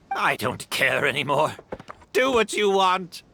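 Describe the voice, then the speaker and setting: A man voicing a stubborn, bad-tempered, sullen character in a video game, gravelly, curt and dismissive. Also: fairly high pitch, sharp tone, slight background noise, exaggerated voice. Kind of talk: scripted video game dialogue.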